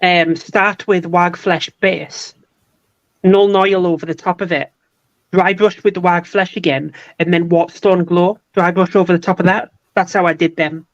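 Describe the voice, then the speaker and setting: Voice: mid-range voice, fairly even intonation, moderate pace, no echo. This guest painter chats casually about their work. The voice sits in the middle range and explains steps plainly, with a light teasing edge. The recording is dry and a little dull and muffled. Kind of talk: casual hobby chat.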